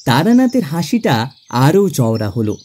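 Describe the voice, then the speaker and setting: A man playing a genial middle-class clerk who narrates his own tale in an expressive, theatrical audio drama style. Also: medium pitch, a little slow. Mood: warm storytelling. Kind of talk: dramatised first-person audio story narration. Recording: music under the voice.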